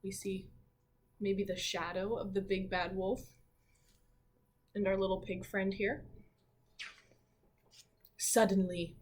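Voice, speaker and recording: A woman teacher reading a picture book aloud to children, expressively, with dramatic pauses and her own thoughts along the way. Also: mid-range voice, little pitch movement, slight background noise. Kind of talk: children's read-aloud with commentary.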